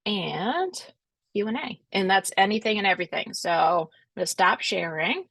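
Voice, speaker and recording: A woman with a Rhode Island accent hosts a webinar over a video call. Her voice sits at an ordinary mid pitch and sounds dry and close. She talks in an easygoing, reassuring way, full of little fillers. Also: expressive, moderate pace, gravelly, a bit bright, breathy edge, no room sound. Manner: friendly, chatty, reassuring, many fillers. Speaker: webinar host, conference organizer. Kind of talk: casual chat, answering questions.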